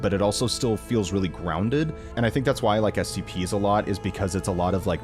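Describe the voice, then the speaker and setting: A young man with a fairly low voice talks casually to his viewers as a reaction video creator. He rambles informally and enthusiastically, with a self-deprecating streak, over clearly present background music. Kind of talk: casual rambling review to camera.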